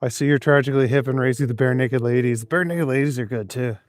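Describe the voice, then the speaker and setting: A man's voice: mid-range voice, quick pace, no echo. A man live streaming while out on cold city streets, reading chat aloud in an easygoing, chuckling, rambling way. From Canada.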